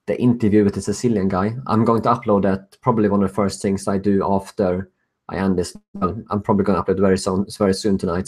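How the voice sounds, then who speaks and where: A young man with a Swedish accent talks through a live-stream Q&A in a casual, chatty way, chuckling often. His voice sits a little low. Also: a little mellow. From Sweden. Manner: casual, chuckling often, reading out viewer questions. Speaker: host.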